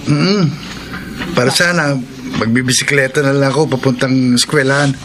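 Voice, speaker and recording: An actor playing an earnest, considerate schoolboy from a poor family in a radio drama, respectful and gently pleading. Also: male voice, medium pitch, moderate pace, noisy background, close to an everyday voice. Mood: earnest, apologetic. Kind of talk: Tagalog radio drama acting.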